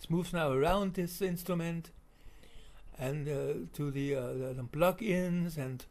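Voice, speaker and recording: A man with a German accent giving unscripted voice-over commentary on video footage, halting with many fillers and restarts. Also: fairly high pitch, darkish tone.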